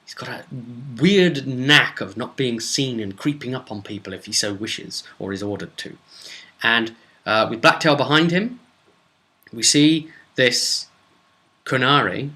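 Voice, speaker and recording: Male game master, forceful bright voice, rambling and animated, telling a casual session recap. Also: mid-range voice, a little slow. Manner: rambling, animated storyteller acting out snippets of dialogue. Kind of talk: casual recap of a tabletop role-playing session.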